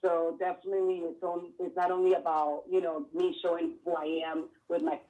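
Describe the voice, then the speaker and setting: A woman's voice: lowish voice, a little fast, phone-quality sound. Earnest woman from Miami, interview guest. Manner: earnest, steady explanations with fillers. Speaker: clothing line founder, guest. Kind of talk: casual interview answers.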